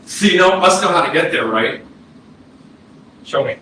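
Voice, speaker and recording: In a very echoey hall, far from the mic, an actor plays a sardonic young man, a dead brother in a stage drama. His voice sits a bit high and his talk is slangy, with noise faintly behind. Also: lively intonation, measured pace, pushed and bright, exaggerated voice. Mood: teasing. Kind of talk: staged play reading, theatrical dialogue. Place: small arts-centre stage, distant mic. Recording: roomy echo, distant mic, noisy audio.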